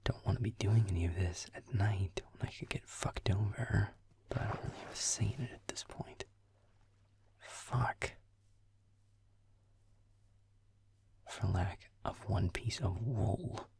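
A surly werewolf boyfriend, played by a man, mutters through a gaming roleplay. His voice is very deep and gravelly, often sinking into a near whisper. He sounds sarcastic and irritable, swearing under his breath. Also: normal speed, a little mellow. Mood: grudging, annoyed. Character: grumpy sarcastic tsundere werewolf boyfriend playing games.

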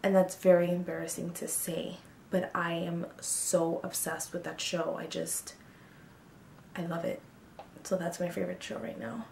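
A young woman in her twenties talks casually to camera from a small bedroom, frank and chatty, full of ums and likes. Her voice sits a little low, and the room gives it a roomy echo over a faint hiss. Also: little pitch movement, normal speed, vocal fry, a little mellow. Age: 20s. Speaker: beauty vlogger. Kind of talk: casual get-to-know-me chat to camera.

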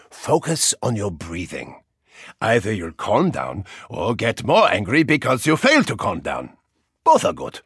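An actor playing a brash, hot-tempered German football hooligan action hero in a video game, with a German accent, a gravelly rasp and bombastic delivery. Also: male voice, a little high, dry recording, clean studio sound, exaggerated voice. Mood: calm, menacing. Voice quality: gravelly, raspy. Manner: bombastic, theatrical, swinging from growl to shout. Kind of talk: video game character voice lines.

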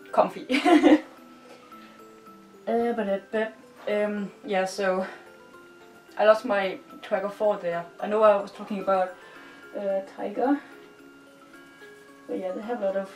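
A woman's voice: medium pitch, darkish tone. A young woman from Denmark with a Danish accent, chatting in a bubbly, giggly, rambling way while decorating her bedroom, filmed by a camera across the room. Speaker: vlogger. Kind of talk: casual chat while decorating. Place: bedroom, indoors. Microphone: far camera. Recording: roomy, distant, with music faint behind.

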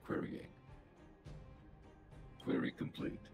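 A man voicing a huge, cold, formal robot in a video game, with clipped machine-like phrasing, a gravelly tone and robotic processing. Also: slow, music in the background.

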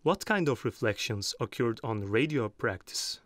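A man's voice: mid-range voice, animated, moderate pace, a bit bright, no room sound. Dry-sounding Turkish-accented male radio host. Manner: formal, polite. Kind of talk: scripted radio presenting.

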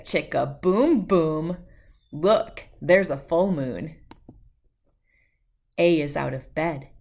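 A woman in her thirties reading a picture book aloud to young children in an expressive, playful, rhythmic way. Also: low voice, creaky. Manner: expressive, playful, sing-song rhythmic delivery. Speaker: children's read-aloud host.

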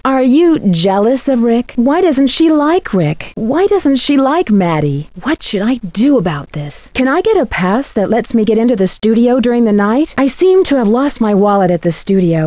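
A woman's voice: mid-range voice, mellow, dry recording, natural voice. A woman playing a curious, polite young amateur detective in scripted video game dialogue, earnest and inquisitive in manner.

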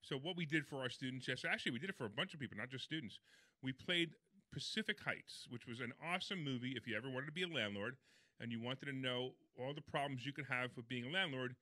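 A man co-hosting a casual radio show, talking quickly with a playful, teasing manner. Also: medium pitch, sharp tone, no room sound. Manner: fast, jokey, playful teasing.

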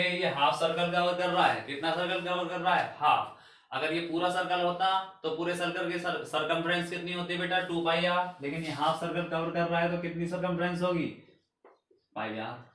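A man's voice: a little high, a bit bright, far from the mic. Young male teacher in an echoey room. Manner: asks the class questions and answers them himself, mixing Hindi and English. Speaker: physics teacher. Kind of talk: whiteboard lecture. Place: small room at a whiteboard. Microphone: camera a few meters away.

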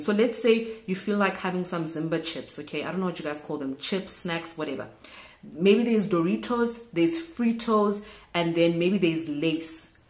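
A woman in her thirties from South Africa, chatting casually to camera in a small room and repeating words for emphasis. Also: lowish voice. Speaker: vlogger. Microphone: camera close in front. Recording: echoey room.

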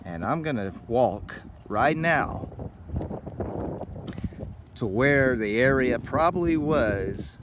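A middle-aged man hosting a travel vlog on location, speaking enthusiastically and directly to his viewers. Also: fairly low pitch. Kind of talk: on-location narration to camera about music history. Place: outdoors at a small-town baseball field. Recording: outdoor ambient noise, passing vehicles.

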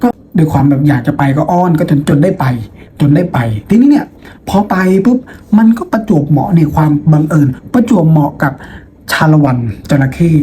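A man with a soft, mellow voice gives an informal lesson as if telling a story to his students. He is chatty and playful and likes to ask questions he answers himself. There is some steady noise behind him. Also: on the high side. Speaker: teacher and host of a lesson video. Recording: noticeable background noise.

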